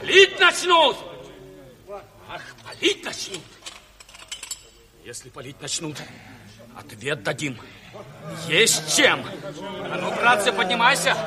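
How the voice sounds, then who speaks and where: A man's voice: high voice, wildly swooping pitch, slow pace, long pauses, sharp tone, full character voice. An actor playing a rowdy, drunken soldier rabble-rouser, wheedling his mates in a sing-song drawl, in 1970s Soviet radio drama style. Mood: rousing, defiant. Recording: reverberant hall, crowd and music behind.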